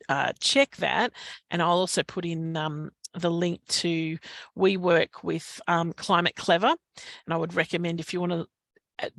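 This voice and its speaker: An Australian woman giving advice in an online Q&A, hesitant with many restarts, her voice going hoarse. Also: fairly low pitch, fairly bright tone, dry recording. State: losing her voice, coughing. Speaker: guest speaker on climate reporting. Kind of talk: online webinar Q&A.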